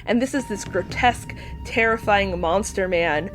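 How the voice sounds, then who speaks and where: A woman from Spain chatting casually as a podcast guest, playful, animated and jokey, happy to swear. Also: mid-range voice, normal speed, faint music behind. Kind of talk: casual chat.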